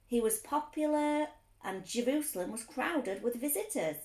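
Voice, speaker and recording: Expressive woman storyteller in an echoey room. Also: a little high, moderate pace. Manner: expressive, explains things simply for young listeners. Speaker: teacher reading a story to children. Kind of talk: children's storytelling, read aloud with asides.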